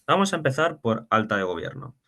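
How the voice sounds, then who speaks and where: A man's voice: medium pitch, big pitch swings, brisk, a little hiss or hum. Young man debating. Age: young adult. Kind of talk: competitive debate speech.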